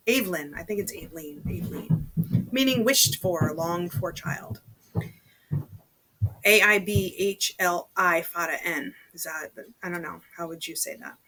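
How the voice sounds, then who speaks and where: A woman's voice: on the low side, measured pace, a bit bright, breathy edge, low-quality recording. A woman reading a novel aloud as a storytelling host, chatty, dropping into casual muttered asides. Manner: chatty, breaks off to comment in undertones.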